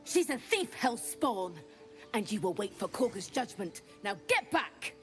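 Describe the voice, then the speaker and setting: A woman playing a gruff, hostile camp guard in a fantasy game, curt and commanding. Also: medium pitch, big pitch swings, a little slow, music in the background.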